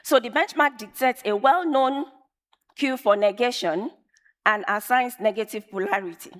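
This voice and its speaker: A woman whose first language is Yoruba, giving a measured, emphatic conference talk and repeating phrases for effect. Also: a little high. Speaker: conference speaker. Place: large conference hall. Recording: long reverb of a large hall.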